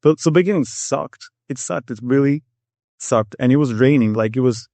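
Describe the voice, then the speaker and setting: Man, Czech accent, middle-aged, rambling animated storyteller, dry close sound. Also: mid-range voice, moderate pace, no room sound. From Czech Republic. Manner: rambling, animated, acts out quotes. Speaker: guest, Air Force master sergeant. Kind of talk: casual storytelling interview.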